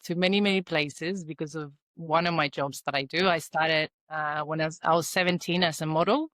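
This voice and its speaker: Argentine-Australian accent, woman in her thirties, low-ish pitch, dry, unhurried with fillers. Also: fairly even intonation, a bit bright, no room sound. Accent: Argentine Spanish mixed with Australian. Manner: thoughtful, halting, many fillers and restarts. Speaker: guest, environmental consultant. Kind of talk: podcast interview.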